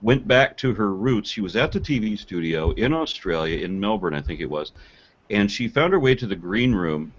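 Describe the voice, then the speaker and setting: A man's voice: on the low side, expressive, moderate pace. A man co-hosting a podcast in casual conversational talk, recorded in dull lo-fi call audio. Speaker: podcast co-host.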